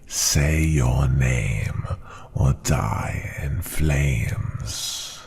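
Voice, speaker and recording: A man's voice: drawn-out words, darkish tone, full character voice. A man voicing an ancient, tyrannical dragon ruler who scorns humans, speaking in a pompous, menacing growl for an ASMR roleplay. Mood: suspicious, threatening. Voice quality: deep gravelly growl, breathy at times. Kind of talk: ASMR roleplay, slow theatrical monologue.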